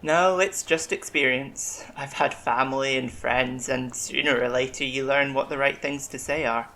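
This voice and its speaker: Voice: medium pitch, steady tone, moderate pace, sharp tone. An actor playing an eccentric, long-lived alien medic in an audio drama, reflective, kindly and wryly self-deprecating. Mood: wistful, wry.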